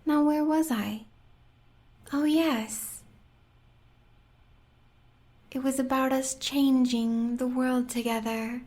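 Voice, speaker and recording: A woman acts out a sadistic American werewolf huntress for an audio roleplay. Her voice sits fairly high for a woman and moves slowly, slipping into breathy whispers. She talks sweetly and menacingly, a devoted lover who enjoys cruelty. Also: steady tone, darkish tone.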